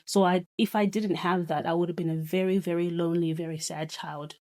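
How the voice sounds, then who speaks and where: A woman in her thirties from Zimbabwe, a guest reflecting on her upbringing with a relaxed, thoughtful manner. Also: fairly low pitch, brisk. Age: 30s. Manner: reflective, many fillers and soft laughs. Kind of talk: casual chat.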